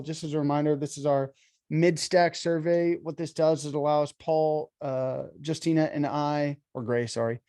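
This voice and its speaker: Man, bootcamp instructor, congested and nasal; easygoing, rambling lecture delivery, warm tone. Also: a little high, no echo, clean studio sound. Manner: chatty, filler-heavy, self-correcting. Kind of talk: casual online lecture.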